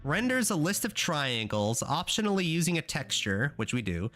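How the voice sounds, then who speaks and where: Excitable young man streaming code. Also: fairly high pitch, normal speed. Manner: excitable, swearing, talks to chat while coding. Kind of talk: casual live-coding stream chat. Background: faint music.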